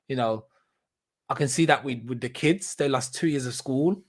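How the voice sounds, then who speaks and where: A man hosts a podcast on his own, talking in a loose, rambling way. He repeats words, restarts sentences and fills gaps with ums. His voice sits at an ordinary middle pitch. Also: normal speed. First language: not German. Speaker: podcast host. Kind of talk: casual solo catch-up chat.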